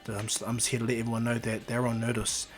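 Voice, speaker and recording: A man voicing a guarded, moody teenage boy who speaks low and wary. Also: flat delivery, fast talker, soft background music, lightly acted.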